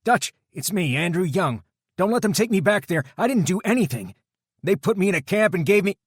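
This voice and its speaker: A man's voice: a little high, big pitch swings, a little fast, a bit bright, husky, no echo, clean studio sound, voice pushed up, heavily acted. A man voicing a frightened young escaped prisoner who pleads breathlessly. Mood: desperate.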